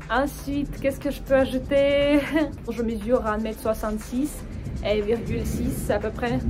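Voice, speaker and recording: Woman, young adult, high-ish voice, hesitant casual chat, outdoors, clear background music. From Ukraine. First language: Russian. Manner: hesitant, many fillers and restarts, friendly. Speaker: French teacher and video creator. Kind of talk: casual personal chat to camera.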